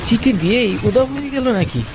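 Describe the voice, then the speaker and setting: A man's voice: very high-pitched, expressive, fairly quick. A man playing an earnest, kindly village yogurt seller who narrates his own cartoon story in a suspenseful storytelling manner. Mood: puzzled, suspenseful. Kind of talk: first-person cartoon story narration with dialogue. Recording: loud rain and thunder noise.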